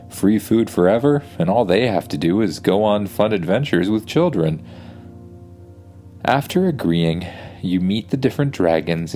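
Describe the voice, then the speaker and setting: A man's voice: deep voice, vocal fry, darkish tone. A man telling an improvised bedtime story for kids in an informal, soothing way.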